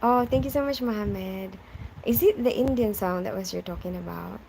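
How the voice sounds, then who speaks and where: A woman's voice: medium pitch, normal speed, vocal fry, dark tone, a little hiss or hum, poor audio. A young woman who grew up in the Philippines, chatting casually on a live stream, reading out viewers' names and answering them, often laughing.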